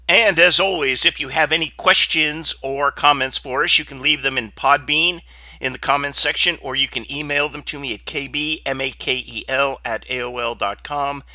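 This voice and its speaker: Podcast host, male, congested, blunt and rambling; sharp, forward tone. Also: mid-range voice, dry recording. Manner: blunt, opinionated, rambling with fillers. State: stuffed up from sinus trouble. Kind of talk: casual solo podcast talk.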